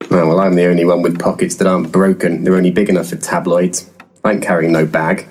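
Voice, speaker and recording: A man playing an eccentric, drunken ghost hunter in a comic audio drama, husky, theatrical and imperious. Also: sits low, flat delivery, fast, darkish tone.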